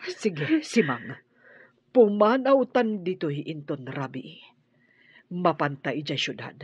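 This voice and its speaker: A woman playing an anxious mistress of a house in a radio drama, urgent and dramatic, with a husky, breathy voice. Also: deep voice, lively intonation, slow pace, dry recording, exaggerated voice. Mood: urgent, fearful. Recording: dull, dry radio drama audio.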